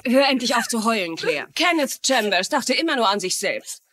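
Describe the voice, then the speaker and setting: A woman's voice: on the high side, very animated, moderate pace, fairly bright tone, no echo. An actress playing a hard, bitter, domineering woman in a dubbed TV mystery. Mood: bitter, scornful.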